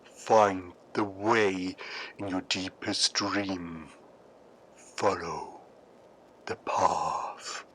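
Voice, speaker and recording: Ominous undersea creature, very low pitch, dragging pace, luring, radio drama villain. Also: male voice, fairly even intonation, fairly bright tone, a little hiss or hum, exaggerated voice. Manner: drawn-out, ominous pronouncements. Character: sinister deep-sea cult entity, luring, ominous.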